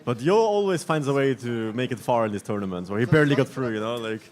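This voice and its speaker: A man who plays in the tournament, answering questions in a relaxed, chatty way after his match. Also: medium pitch, quick pace. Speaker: player interviewed after a match. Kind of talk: casual post-match interview.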